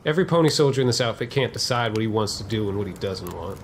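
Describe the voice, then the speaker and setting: A man's voice: on the low side, animated, fast talker, a little hiss or hum, natural voice. A man playing an obedient, unsympathetic cavalry private in a historical drama, talking in dismissive, folksy frontier phrases.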